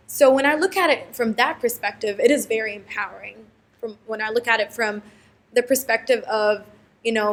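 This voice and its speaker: Young woman from Saudi Arabia, fairly high voice, thoughtful and emphatic. Student interview subject. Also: fairly bright tone. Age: young adult. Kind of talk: reflective interview answers.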